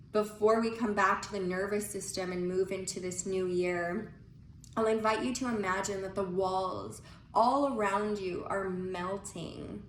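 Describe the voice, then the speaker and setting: A woman leading a guided breathing visualization in a soothing, unhurried manner with pauses between phrases. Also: mid-range voice. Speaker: guided meditation leader. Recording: echoey room with faint noise.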